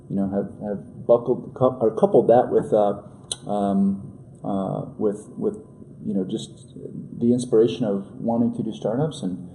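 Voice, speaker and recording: A man in his forties, a podcast guest in casual conversation. His voice is dark and mellow, and he keeps pausing on fillers and starting over. A faint hiss sits under the recording. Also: on the low side, poor audio. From New York, USA. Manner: halting, many fillers and restarts. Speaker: guest entrepreneur.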